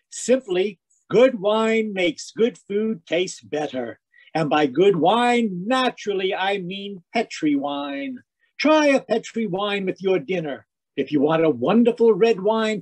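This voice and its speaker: A man announcing in 1940s radio style, reading a sponsor message in a polished, persuasive way. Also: high-pitched. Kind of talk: 1940s radio advertising read.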